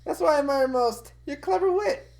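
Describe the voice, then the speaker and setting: A woman's voice: high voice, expressive, moderate pace, creaky, a crowd in the background. A woman playing a bookish, earnest cartoon boy who talks in a courteous, formal way. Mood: admiring.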